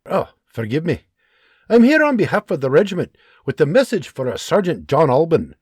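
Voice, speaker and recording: A man voicing a young, dutiful Scottish soldier with a husky, formal way of speaking, as an audiobook character. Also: a little high, very animated, moderate pace, no echo, clean studio sound, voice pushed up.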